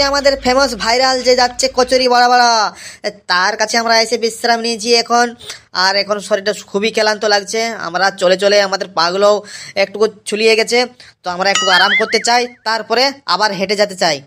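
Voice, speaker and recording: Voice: medium pitch, fairly even intonation, brisk, bright, forceful tone, slight background noise, natural voice. An eager young cartoon sidekick put on by a performer chats. He is agreeable and repeats his words, in a comic mock-vlog style. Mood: weary, chatty. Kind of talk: cartoon comedy dialogue, mock vlogging.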